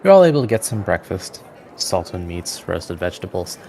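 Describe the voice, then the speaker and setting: A man narrating a tabletop fantasy game as game master in a measured storytelling manner. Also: deep voice, very animated, a little mellow, soft background music, some chatter behind.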